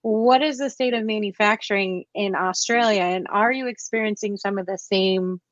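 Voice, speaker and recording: A woman's voice: mid-range voice, normal speed, no room sound. Woman, interviewer, chatty, restarts and fillers, video call, muffled dry audio. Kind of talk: casual conversation.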